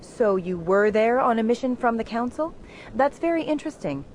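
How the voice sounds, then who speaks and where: A woman's voice: on the high side, lively intonation, moderate pace, a little mellow, a little hiss or hum, lightly acted. A woman playing a polished, needling TV news reporter in a video game. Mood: probing.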